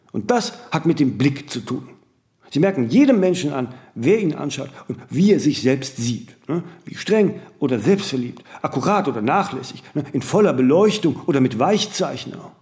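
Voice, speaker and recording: Male for radio drama: eccentric, opinionated star, very lively intonation, emphatic run-on speech with tag questions. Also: a little high. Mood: self-assured, emphatic. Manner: fast-flowing, self-correcting, emphatic, peppering sentences with "ne?". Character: eccentric, vain, disciplined celebrity man, opinionated monologuist. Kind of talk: radio play dialogue, conversational interview answers.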